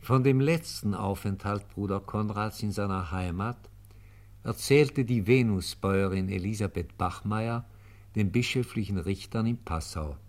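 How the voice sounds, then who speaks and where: An actor as a sober, factual chronicler, narrating a radio play from a script. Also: male voice, lowish voice, a little slow, darkish tone. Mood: measured.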